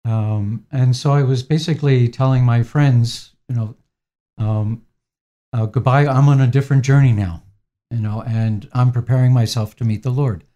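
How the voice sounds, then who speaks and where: A man in his sixties, a priest, answering interview questions in a gentle, unhurried way with frequent pauses and fillers. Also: mid-range voice, steady tone, lo-fi sound. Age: 60s.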